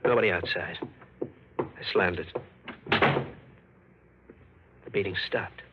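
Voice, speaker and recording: An actor playing a hard-boiled, frightened fugitive gangster in 1940s radio thriller style, with stammering, slangy tough-guy talk and a rough edge. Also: deep voice, extremely slow, heavy background noise. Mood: haunted, tense narration. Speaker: actor narrating and playing the lead. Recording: old radio recording with music.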